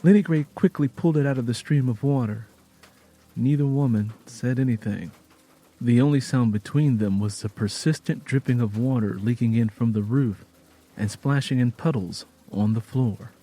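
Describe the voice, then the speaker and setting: A man narrating a scripted dramatic story in a solemn, measured way. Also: medium pitch, dark tone, low-quality recording.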